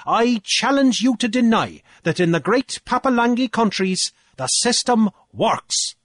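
Storybook chancellor played by a male narrator: smug, oratorical, challenging, high and swinging widely in pitch. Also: lively intonation, unhurried, no echo, studio quality, full character voice. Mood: challenging, triumphant. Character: smug, triumphant male chancellor arguing before an island council.

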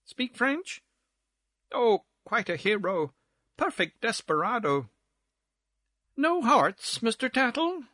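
A man's voice: very high-pitched, very animated, slow pace, pauses often, sharp tone, no echo, studio quality, voice pushed up, exaggerated voice. A man voicing a gossipy, gushing society woman at cards in a storybook reading, with affected, exclamatory coyness. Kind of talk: theatrical storybook character voice.

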